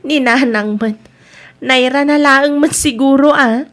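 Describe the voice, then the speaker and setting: A woman acting as a grown daughter in a comedy radio drama. Her voice sits fairly high, and she talks things through with mild, familiar teasing. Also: unhurried, fairly bright tone. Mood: mild, reasoning.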